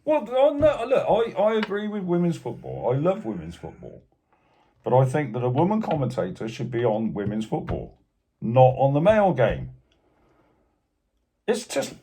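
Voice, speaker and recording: A man's voice: on the high side, huge pitch swings, normal speed, warm tone, breathy edge. A Scottish man co-hosting a casual football chat, blunt and teasing in his opinions. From Scotland. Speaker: podcast co-host.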